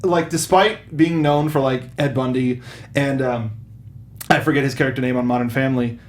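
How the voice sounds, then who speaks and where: A man hosting a casual film review chat, animated and enthusiastic with halting phrasing. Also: mid-range voice. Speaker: talk show host. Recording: clear background noise.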